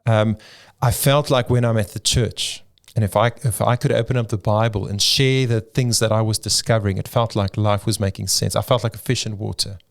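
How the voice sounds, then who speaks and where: A man's voice: on the low side, steady tone, a little fast, creaky, few pauses. A middle-aged South African man, a pastor whose first language is Afrikaans, telling his story in an interview with frequent ums and restarts. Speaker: pastor and church planter.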